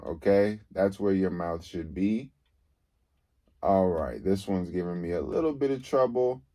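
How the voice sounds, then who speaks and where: A man with a deep, bassy voice teaching recording in a relaxed, conversational way, often checking in with "okay?". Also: no echo. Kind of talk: casual tutorial.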